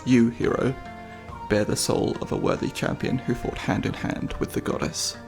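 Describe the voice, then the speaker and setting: A man playing a devout, solemn monk elder in a fantasy ASMR roleplay, speaking in a measured, formal, reverent way with a breathy, creaky voice. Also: mid-range voice, music in the background, natural voice.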